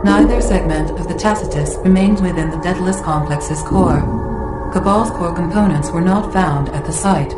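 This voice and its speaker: A woman voicing a calm, precise military computer AI, giving measured, impersonal status reports in a game. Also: on the low side, close to an everyday voice. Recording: echoing voice under loud music.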